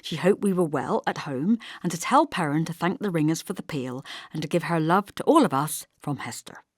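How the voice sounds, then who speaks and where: A woman's voice: deep voice, expressive, a little fast, no room sound, studio quality. A woman reading scripted first-person literary narration as an audiobook narrator.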